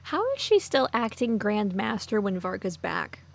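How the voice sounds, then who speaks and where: A woman's voice: medium pitch, animated, moderate pace, creaky. Woman giving casual gameplay commentary. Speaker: let's play streamer.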